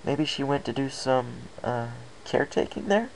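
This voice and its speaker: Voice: medium pitch, expressive, normal speed, gravelly, pitched above the performer's own voice, poor audio. A performer voicing an earnest young male pegasus pony in a storybook reading, anxious and a little hesitant. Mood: questioning.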